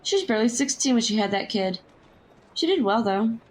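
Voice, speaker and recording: A woman performs a streetwise young match girl in Victorian London for an audio drama. Her voice is on the high side, brisk and teasing with plenty of slang, set against audible background noise. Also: expressive, close to an everyday voice. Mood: friendly, matter-of-fact. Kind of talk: historical audio drama acting.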